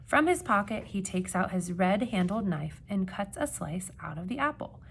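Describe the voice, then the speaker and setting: A woman reading a children's picture book aloud like a teacher, with expressive emphasis and questions put to young listeners. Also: medium pitch, gravelly, slight background noise. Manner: expressive, dramatic gasps and emphasis, pausing to ask questions.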